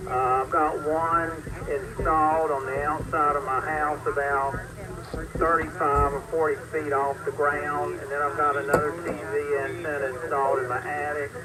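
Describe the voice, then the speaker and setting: A man chatting casually and haltingly as he checks in over a two-way ham radio. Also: fairly high pitch, little pitch movement, a loud crowd. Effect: two-way radio transmission. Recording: noisy ham radio repeater signal.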